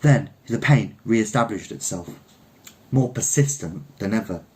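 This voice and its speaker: A man's voice: fairly low pitch, lively intonation, measured pace, a little mellow. A man reading a literary short story aloud as its narrator. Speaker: story reader.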